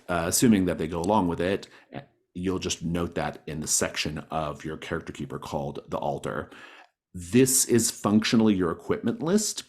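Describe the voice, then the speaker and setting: A man speaking as game master of a tabletop roleplaying game on an online call, in an encouraging, accommodating manner. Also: low-pitched. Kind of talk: casual explanation of a tabletop game. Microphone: online group call.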